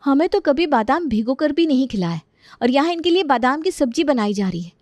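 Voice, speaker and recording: A woman voices a young daughter-in-law in a storybook family drama, with a high voice. She sounds aggrieved and sarcastic, complaining in a dry, close recording. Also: lively intonation, a little fast, darkish tone, dry recording. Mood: resentful.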